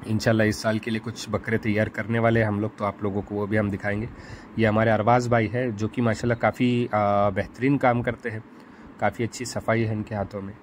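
Male vlog host, fairly low voice, easygoing and halting voice-over, faint room noise. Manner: relaxed, hesitant with frequent fillers. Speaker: farm channel host. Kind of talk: casual voice-over narration. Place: home studio, recorded separately as voice-over.

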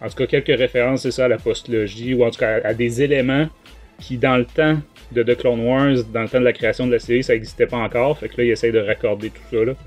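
Podcast host: man, Québécois French accent, average pitch, informal and halting, faint music bed. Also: steady tone. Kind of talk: casual talk show chat.

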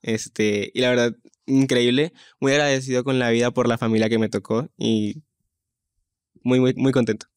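Teenage male guest, upbeat and grateful, mid-pitched, dry studio mic. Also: fairly even intonation, normal speed, no room sound. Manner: grateful, upbeat, uses filler "este". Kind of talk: interview conversation.

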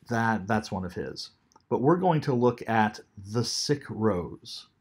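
A man in his fifties giving a recorded lecture from an armchair at home, thoughtful and unhurried with frequent ums, into a webcam. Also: medium pitch, a little mellow, breathy edge. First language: English. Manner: unhurried, thoughtful, many ums, reads poetry aloud slowly. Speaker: teacher. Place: small room at home, seated in armchair.

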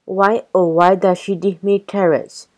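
Woman voicing a haughty, spoiled storybook pony, unhurried. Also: mid-range voice, little pitch movement, a little mellow, no echo, close to an everyday voice. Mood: disgusted complaining. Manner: pompous, disdainful. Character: spoiled, haughty, dramatic female pony who believes she's a cat. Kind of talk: children's storybook character reading.

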